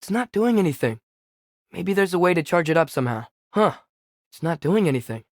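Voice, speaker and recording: A man's voice: on the high side, sing-song, a little fast, no echo, clean studio sound. A young man playing an eager, earnest, curious teenage boy, a companion in a video game, fighting beside his father.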